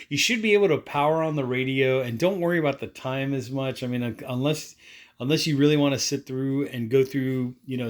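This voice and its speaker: A man with a medium-pitched voice presents a hands-on product review. He speaks informally and without a script, rambling a little as he explains each step and talks through his actions aloud. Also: fairly bright tone. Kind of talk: casual unscripted product demonstration.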